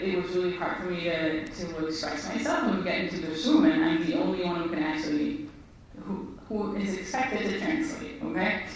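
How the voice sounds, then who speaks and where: A woman physician, telling her story earnestly and reflectively in a conversation. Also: on the low side. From Israel. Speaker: physician guest. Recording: echoey room, distant mic, faint noise.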